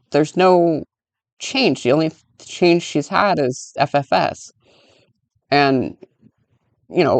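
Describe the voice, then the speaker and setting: A woman's voice: low voice, no room sound. A woman hosting a reaction commentary, talking bluntly and emphatically with frequent swearing. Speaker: commentary host.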